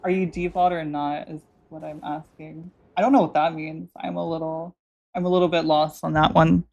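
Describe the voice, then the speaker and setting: A woman's voice: sits low, flat delivery, moderate pace, gravelly, a little mellow, highs cut off. A woman streamer with a feminine voice, chatting casually and rambling with many restarts while she plays. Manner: rambling, self-interrupting, joking. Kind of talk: casual gaming stream chat.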